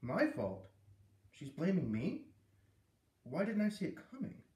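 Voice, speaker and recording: A man's voice: a little high, huge pitch swings, unhurried, a little mellow, voice pushed up. A man voicing an earnest, kind young schoolgirl, new in class, with a sincere, questioning way of talking in a storybook read-aloud. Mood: dismayed. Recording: roomy echo, distant microphone.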